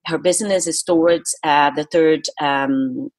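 A woman's voice: deep voice, fairly even intonation, unhurried. A Brazilian woman, a native Portuguese speaker, talking earnestly and emphatically as a coach being interviewed. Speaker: guest, public speaking coach. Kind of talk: interview talk.

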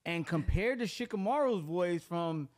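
A man in his thirties with a somewhat high voice hosts a podcast. He asks his guest questions casually, speaking close into a microphone in a home streaming room. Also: animated, normal speed. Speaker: podcast host, interviewer. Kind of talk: casual interview chat. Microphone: close boom-arm podcast microphone.

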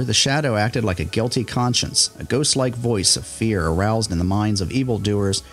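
A man hosting an old-time radio show, giving a steady, informative scripted narration. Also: medium pitch. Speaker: podcast host and radio historian.

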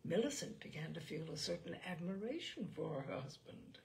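A woman reading literary fiction aloud as a storyteller, in a measured, expressive audiobook style. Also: fairly low pitch, creaky, a little hiss or hum.

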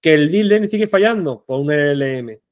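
A young man with a marked Andalusian accent, giving an animated online conference talk with rhetorical questions and frequent restarts, speaking on a headset mic in a small room. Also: a little high, fairly quick, dry recording, clean studio sound. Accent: Andalusian Spanish.

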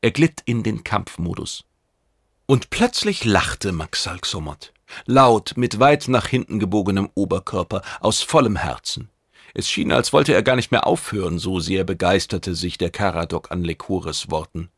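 A man's voice: deep voice, animated, normal speed, a bit bright, husky, no echo, clean studio sound. A man reading a science fiction audiobook as a dramatic scripted narration.

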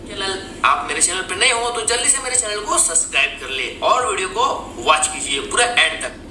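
A man's voice: high-pitched, lively intonation, normal speed, noisy background. A man speaking in a social media clip played back from a phone. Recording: echoey distant phone playback with music.

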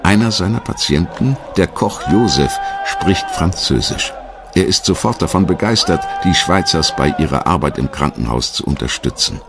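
A man reading a scripted documentary narration in a measured, dramatic storytelling manner. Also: low-pitched, expressive, vocal fry, noisy background.

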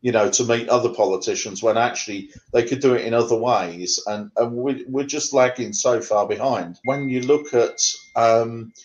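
A man's voice: mid-range voice, fairly even intonation, normal speed, hardly any pauses, a little mellow, highs cut off. A middle-aged man from England, a poet reading his rhyming verse on a video call, then answering questions in a conversational way with fillers. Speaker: poet guest. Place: home room.

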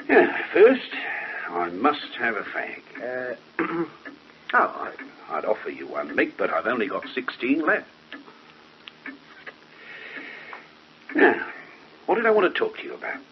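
Casting: world-weary, offhand British detective, cynical and dry, mid-pitched, swooping delivery, seventies radio play. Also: male voice, wildly swooping pitch, measured pace, long pauses, dull audio. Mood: breezy, sardonic. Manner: sardonic, offhand, muttering. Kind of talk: 1970s British radio drama acting. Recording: slightly noisy 1970s radio recording.

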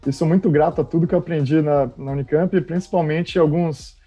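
A man in his thirties with a Bahian accent and a very dark, mellow voice talks about his career as a podcast guest. He speaks in a relaxed, friendly way. Faint music plays behind him. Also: on the high side, a little fast. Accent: Bahian Portuguese. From Bahia, Brazil. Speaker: startup co-founder guest. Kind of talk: casual interview talk.

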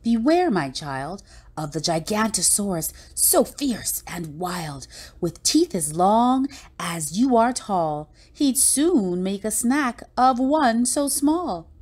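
A woman's voice: mid-range voice, sing-song, darkish tone. A protective dinosaur mum from a storybook, as a woman reads her. She cautions in a dramatic, ominous manner, with a mid-range voice that rises and dips expressively. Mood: ominous warning. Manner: slow, ominous storytelling warning.

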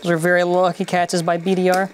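A woman's voice: fairly low pitch, completely flat delivery, fast. A woman giving casual, offhand commentary while testing toys, stumbling over and repeating words. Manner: offhand, repeats words and restarts, many fillers. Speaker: toy-testing video host. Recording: loud noise, clicks behind voice.

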